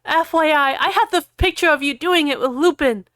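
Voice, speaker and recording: A woman's voice: on the high side, normal speed, dry recording, clean studio sound, lightly acted. A woman voicing a melodramatic gothic teenage girl who delivers her lines in a breathless, overwrought way. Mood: dramatic.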